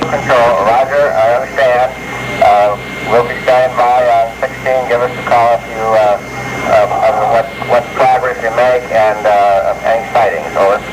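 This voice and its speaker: Halting coast guard man on noisy radio. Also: medium pitch, steady tone. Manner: halting, formal, measured. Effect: VHF radio. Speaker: coast guard captain of the port. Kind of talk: VHF radio dispatch traffic. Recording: noisy VHF radio, old reel-to-reel tape. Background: loud radio and tape noise.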